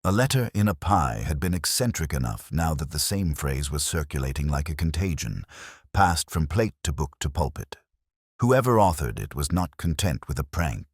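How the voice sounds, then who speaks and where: Male narrator for a mystery tale. Extremely deep, measured and literary, recorded very dry. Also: very animated, slightly rough, dry recording. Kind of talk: scripted mystery story narration.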